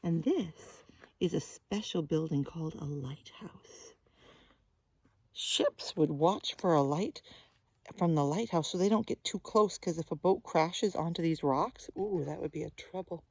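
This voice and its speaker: A woman reading a picture book aloud to young children as a teacher, gently and with sing-song, exaggerated expression, often addressing them directly. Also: sits low, unhurried, slightly rough, no room sound.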